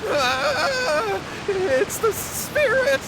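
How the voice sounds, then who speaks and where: A man's voice: very high-pitched, big pitch swings, drawn-out words, exaggerated voice. An actor playing a panicky, evasive young man who stammers nervously in a video game. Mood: terrified. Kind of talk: anime-style video game voice acting. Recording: hissy background noise.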